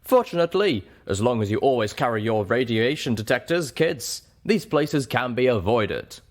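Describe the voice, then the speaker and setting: A man's voice: medium pitch, expressive, moderate pace, lightly acted. A man voicing a female pony radio DJ, theatrical and folksy as she addresses her listeners with survival tips. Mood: upbeat, advising. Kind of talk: radio survival-tip broadcast.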